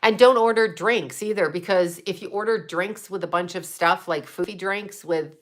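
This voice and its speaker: A woman's voice: fairly low pitch, moderate pace. A woman in her fifties, hosting a casual livestream, chatting bluntly and profanely while answering viewer comments. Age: 50s. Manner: blunt and profane, trash-talking trolls between chatty tips. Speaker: livestream host.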